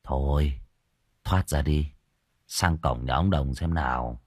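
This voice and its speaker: A man's voice: low-pitched, animated, moderate pace, a little mellow, dry recording, clean studio sound, pitched below the performer's own voice. A man voicing a blunt, bossy young spirit medium who scolds casually in a story. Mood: brisk, bossy.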